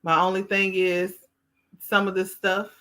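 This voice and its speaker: A woman's voice: mid-range voice, level tone, unhurried, pushed and bright. A woman hosting a podcast, moderating a group chat and laughing easily. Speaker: podcast host.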